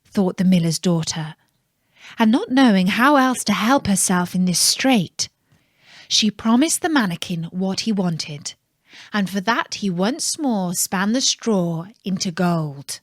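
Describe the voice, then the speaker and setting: A woman narrating a fairytale for children in a calm, measured, scripted storytelling style. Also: medium pitch, dry recording, clean studio sound.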